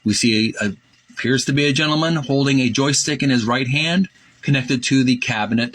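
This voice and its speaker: A man reading aloud and describing pictures as a presenter, with an informal, at times halting delivery. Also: medium pitch, fairly bright tone.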